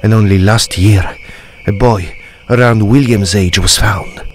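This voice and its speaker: A grave Italian manservant of a gothic radio play, acted by a man. His voice is low, his manner deferential and foreboding, with faint night-time noise behind him. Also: unhurried, lightly acted. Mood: ominous. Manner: deferential, ominous storytelling. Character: grave Italian castle servant, deferential, ominous. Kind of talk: period audio drama acting.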